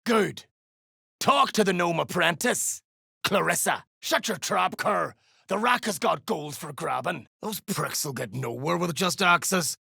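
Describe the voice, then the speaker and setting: A gruff, greedy dwarf mercenary for a fantasy game. The voice is heavily fried and gravelly, with a forceful bright edge. The coarse, threatening lines swing widely in pitch. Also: animated, a little slow, no room sound, studio quality, full character voice. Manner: coarse, swearing, dialect-heavy threats. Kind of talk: video game character voice acting.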